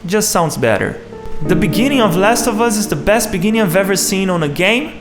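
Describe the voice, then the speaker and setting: Man, high pitch, video essay host, narration over music. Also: lively intonation, fairly quick.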